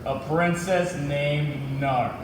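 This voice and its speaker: A man's voice: on the high side, fairly even intonation, extremely slow, far from the mic. A man playing a good-natured, naive young misfit in a stage comedy, earnest, in an echoey and noisy hall. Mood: clueless, friendly. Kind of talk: staged reader's theatre comedy.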